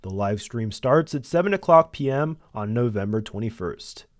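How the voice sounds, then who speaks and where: A man's voice: medium pitch, expressive, moderate pace. A young man reading scripted news as a student anchor and reporter. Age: young adult.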